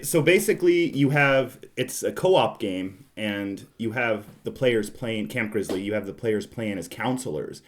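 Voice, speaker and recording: A man hosting a podcast, chatting casually about board games. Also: lowish voice. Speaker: podcast host.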